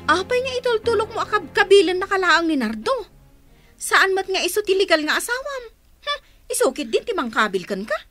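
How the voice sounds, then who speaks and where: An exasperated, dutiful daughter in a radio drama. Her high voice swings widely in pitch as she questions and frets. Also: very animated, a little fast, fairly bright tone, full character voice. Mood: indignant. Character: exasperated, dutiful grown daughter and concerned sister.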